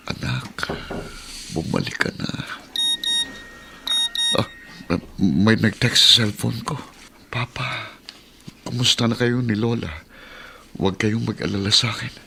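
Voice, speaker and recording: A man with a Filipino accent playing an anxious, excitable father in an emotional radio drama. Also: lowish voice, slow pace, creaky, long pauses, a little hiss or hum, heavily acted. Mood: worried longing.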